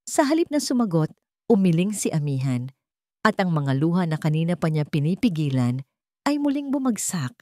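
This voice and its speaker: A woman's voice: fairly low pitch, very animated, moderate pace, darkish tone, dry recording, clean studio sound. A woman with a Filipino accent reading a romance story as a scripted, dramatic narration.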